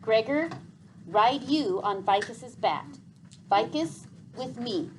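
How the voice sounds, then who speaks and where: A woman's voice: fairly high pitch, lively intonation, slow pace, a little hiss or hum, rough recording. A woman voicing a grave, commanding female leader in a storybook, speaking in formal, old-fashioned, decisive phrases.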